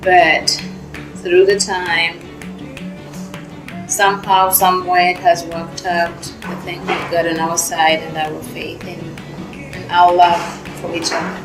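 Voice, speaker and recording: Woman from Rwanda, low and even voice, quiet earnest testimony, roomy and off-mic over music. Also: slow pace. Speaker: storyteller. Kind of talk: personal testimony. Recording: roomy and distant, music underneath.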